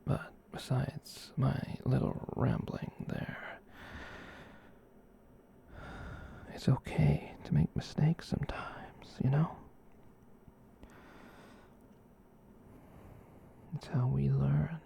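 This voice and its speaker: A performer voicing a gentle giant furry catboy in a whispered ASMR roleplay, soft and breathy, rambling tenderly and reassuringly. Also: medium pitch, steady tone. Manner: slow, tender, reassuring rambling with cat-speak.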